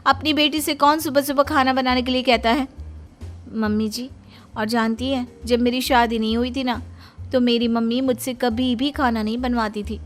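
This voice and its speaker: Cheeky cartoon bride, played by a woman: high voice, stubborn, sugary back-talk, music bed. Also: little pitch movement, moderate pace, natural voice. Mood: defiant. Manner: pert, mock-sweet back-talk. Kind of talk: cartoon family drama acting. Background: background music.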